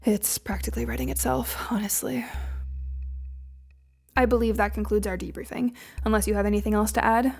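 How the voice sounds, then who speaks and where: Creaky-voiced, brisk female agency official. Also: fairly high pitch, steady tone, darkish tone. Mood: dry, wry. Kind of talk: audio drama acting.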